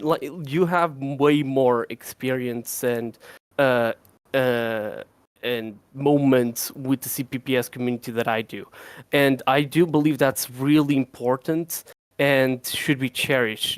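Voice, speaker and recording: A man co-hosting a casual livestream Q&A for his game, talking in an excitable rush, repeating words and laughing often. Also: medium pitch, measured pace, no echo. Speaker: game developer co-host.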